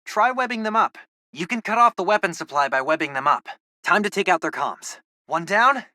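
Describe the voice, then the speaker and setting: Casting: excitable teen tech geek, game sidekick; very animated pitch, wisecracking, dry close recording. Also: male voice, no room sound. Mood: playful, brisk. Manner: casual, wisecracking, expressive. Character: nerdy, excitable teenage boy, hero's tech-savvy best friend. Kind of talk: video game voice acting.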